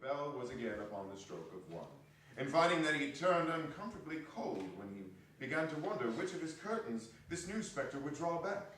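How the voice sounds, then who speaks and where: A man's voice: a little high, very animated, brisk, fairly bright tone, a little hiss or hum. A man narrating a story aloud in a theatrical dramatic reading before a microphone in a hall. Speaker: narrator and reader. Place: performance hall, live reading. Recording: echoey hall, distant microphone.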